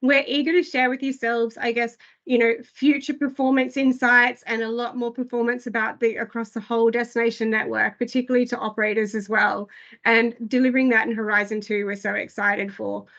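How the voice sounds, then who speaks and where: Slightly hoarse woman presenting, muffled audio. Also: on the high side, little pitch movement, brisk, fairly bright tone. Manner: filler-heavy, frequent "I guess" and "um". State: losing her voice. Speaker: product presenter. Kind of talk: online product briefing.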